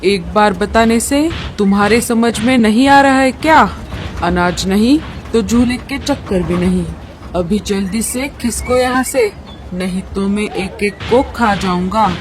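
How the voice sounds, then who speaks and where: A woman's voice: medium pitch, measured pace, soft background music, lightly acted. A woman voicing a greedy, threatening eagle who runs a fairground ride in a cartoon, hawking and scolding. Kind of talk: cartoon story dialogue.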